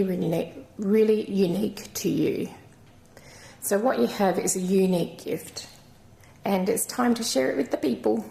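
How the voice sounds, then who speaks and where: A woman with a croaky voice giving a channelled crystal reading in a gentle, rambling, reassuring way. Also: mid-range voice. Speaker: intuitive card reader. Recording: echoey room.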